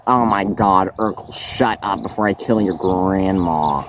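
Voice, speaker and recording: A man's voice: deep voice, a little slow. A young man playing a mocking hot-tempered buddy outdoors. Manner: mocking, over-the-top wailing. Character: bossy, hot-tempered buddy, theatrical crier. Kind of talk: amateur comedy skit. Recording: loud outdoor noise and wind on the mic.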